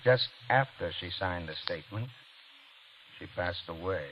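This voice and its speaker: A man's voice: low voice, expressive, measured pace. An American man acting a skeptical police detective in an old radio drama, sardonic and matter-of-fact. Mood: grimly calm. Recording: muffled, faintly noisy vintage radio.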